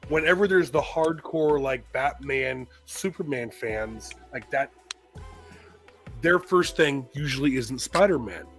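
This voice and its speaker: A man co-hosting a casual live stream chat in a musing, halting way. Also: mid-range voice, fairly bright tone, soft background music, rough recording. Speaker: podcast co-host.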